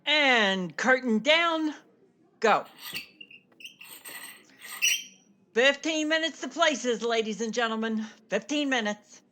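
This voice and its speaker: An actress in a radio play playing a theatre stage manager, brisk and wry, with deadpan asides. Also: female voice, fairly high pitch. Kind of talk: radio play acting, backstage calls.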